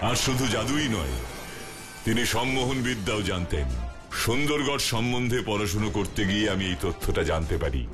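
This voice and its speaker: A man plays a strong, self-assured superhero sleuth from a children's cartoon. His voice sits low and he lays out his reasoning in a measured, confident way. Clear music plays underneath. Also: expressive, close to an everyday voice. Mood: calm explaining. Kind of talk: cartoon dub, explanatory dialogue.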